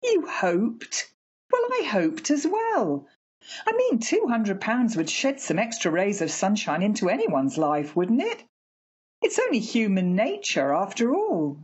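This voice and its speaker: A woman playing a fussy, chatty working-class mother in a radio play, homely and expressive. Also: mid-range voice, unhurried, husky, dull audio, exaggerated voice. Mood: homely, wistful.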